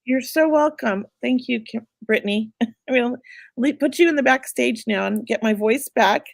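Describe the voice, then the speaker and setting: A woman hosting a live psychic reading, chatty and reassuring, going a bit hoarse as she loses her voice. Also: a little high, normal speed, no echo. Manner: chatty, reassuring, many filler words. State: losing her voice. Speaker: psychic medium host.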